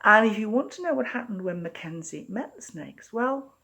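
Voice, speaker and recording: A woman author presenting and reading her own story in an expressive storytelling style. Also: mid-range voice, moderate pace, a little hiss or hum.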